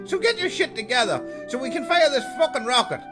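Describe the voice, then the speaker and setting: A man's voice: extremely high pitch, sing-song, a little fast, music in the background. A man playing a bossy, foul-mouthed castaway in a comedy audio drama, scolding with great emphasis. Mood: bossy, emphatic.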